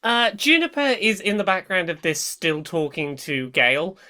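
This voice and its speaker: A woman playing in a tabletop game who speaks in a relaxed, casual way. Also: on the low side, normal speed. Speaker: player.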